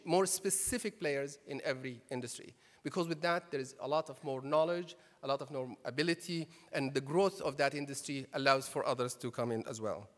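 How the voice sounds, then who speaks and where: A man giving a conference speech, emphatic and full of rhetorical questions. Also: on the high side. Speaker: conference speaker and chairman. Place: conference hall. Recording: reverberant hall, PA.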